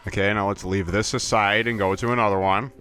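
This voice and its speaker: A man's voice: lowish voice, steady tone, fairly quick, bright, forceful tone, clear, resonant tone, soft background music. A man giving playful, theatrical let's play commentary, riffing and joking as he goes. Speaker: gaming commentator.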